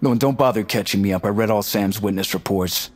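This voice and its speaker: An actor playing an eager, cocky, talkative tech strategist in a naturalistic audio drama. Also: male voice, lowish voice, fast, natural voice. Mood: confident. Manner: talkative, boastful, informal. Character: eager, cocky, nerdy male tech strategist, chatty roommate.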